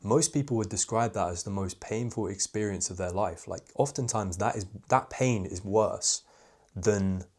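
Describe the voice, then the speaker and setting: A young man talks straight to camera about social confidence, in a candid, reflective way. His voice sits a bit low and fairly monotone, loosened by frequent "like" fillers. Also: moderate pace. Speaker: social skills coach. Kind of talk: casual talking-head advice video.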